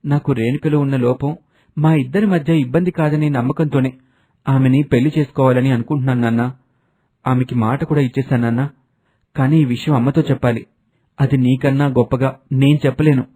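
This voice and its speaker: A man's voice: medium pitch, mellow, lightly acted. A man voicing a devoted, respectful young adult son, speaking earnestly in a family story.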